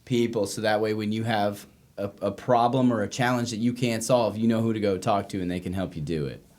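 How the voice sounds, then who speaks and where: A man's voice: lowish voice, brisk, darkish tone. An American man hosting a podcast interview in a casual, conversational way. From United States. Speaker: podcast host.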